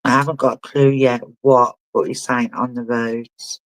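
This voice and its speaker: A man working as a spiritual medium, giving a live reading in a halting way and often asking the sitter whether it makes sense. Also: mid-range voice, little pitch movement, no echo, low-quality recording. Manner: halting, relays messages and keeps asking the sitter to confirm.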